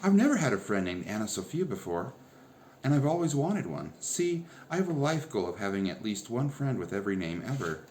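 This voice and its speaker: Eager teen girl, as a man voices her, storybook, rapid bubbly questions, high and raised. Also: sing-song, darkish tone, slight background noise. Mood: excited.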